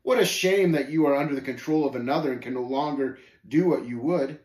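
A man's voice: medium pitch, a little fast, darkish tone, natural voice. A man voicing Shame's part in a story, a haughty scoffer who talks in archaic speech with contemptuous, gloating, snapping taunts. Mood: mocking. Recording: roomy, echoing voice recording.

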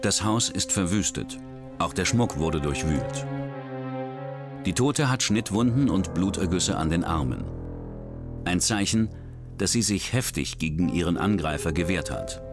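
A man with a fairly low voice narrates a true-crime documentary, his pitch rising and falling lively as he builds tension. He tells the story in a measured, dramatic way. Music plays clearly under the studio voice-over. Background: background music.

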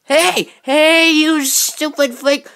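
A woman reacting to a video in excitable commentary, exclaiming and sometimes halting or stammering over words. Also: high-pitched, vocal fry. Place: bedroom, indoors.

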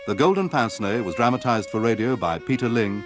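A man's voice: mid-range voice, lively intonation, brisk. A man with a British accent making a scripted announcement as a radio announcer. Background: violin music.

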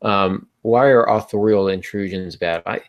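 Middle-aged man, low pitch, podcast host, conversational, explanatory. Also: normal speed, dull audio. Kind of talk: conversational writing-advice panel talk. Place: small room at home, indoors. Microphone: earbud headset on video call.

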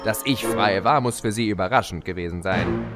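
A man playing a gloating, mischievous spirit of chaos who tells his tale in a theatrical, mocking, drawn-out way for an audio drama. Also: fairly low pitch, music in the background, natural voice. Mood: smug storytelling. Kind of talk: German audio drama narration and character acting.

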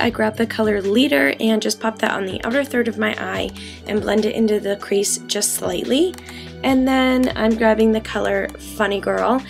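A young woman in her twenties, raspy from a cold, chatting through a makeup tutorial in a bedroom, full of ums and likes. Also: medium pitch. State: getting over a cold. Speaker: beauty vlogger. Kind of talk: casual makeup tutorial talk. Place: bedroom, indoors.

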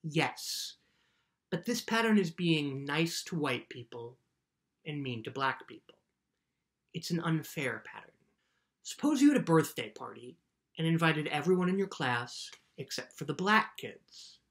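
A man's voice: a little high, very animated, measured pace, a bit bright, heavily acted. A man in his thirties reading a children's book as a patient parent, plainly explaining things. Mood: patient, earnest. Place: living room indoors.

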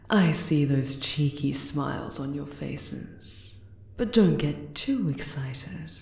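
Regal spider queen played by a woman, lowish n super slow, tender, teasing, echoey room, asmr. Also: creaky, a little mellow, exaggerated voice. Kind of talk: ASMR roleplay acting. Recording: roomy echo on the voice.

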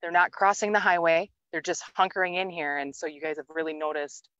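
A woman's voice: lowish voice, brisk, fairly bright tone, clear, resonant tone, no echo, muffled recording. A woman hosting an outdoor farm interview, upbeat and summing up her guest's answers. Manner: upbeat, leading questions with recaps. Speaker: host, soil health interviewer.

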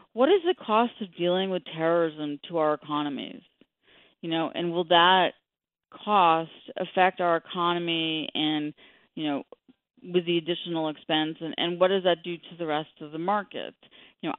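A middle-aged woman explaining things as an expert guest in a radio interview, with measured, hesitant phrasing. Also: lowish voice, no room sound. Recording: thin phone-line sound.

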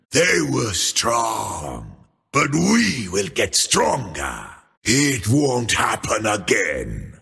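An actor playing a grandiose, menacing troll shadow priest in a video game, proclaiming slowly and theatrically with a gravelly, raspy voice. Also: male voice, medium pitch, heavily acted. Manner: proclaiming, theatrical and slow, often shouting.

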